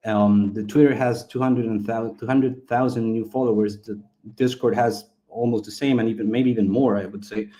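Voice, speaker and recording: A man's voice: medium pitch, steady tone, normal speed, mellow. A man from Chile, a Spanish speaker, talking casually as an interview guest and often repeating words as he explains. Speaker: game studio founder and interview guest.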